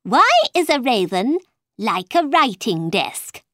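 A woman's voice: on the high side, sing-song, slow, a bit bright, no room sound, studio quality, voice pushed up, full character voice. A woman voicing an eccentric, irritable man in a children's storybook, blunt and emphatic. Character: Mad Hatter, eccentric irritable man at tea party.

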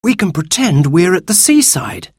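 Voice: medium pitch, very animated, normal speed, breathy edge, no room sound, clean studio sound, pitched above the performer's own voice. A narrator voicing a confident, bossy eldest boy full of enthusiasm in a children's story. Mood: keen, bossy. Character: bossy eldest boy, keen young adventurer.

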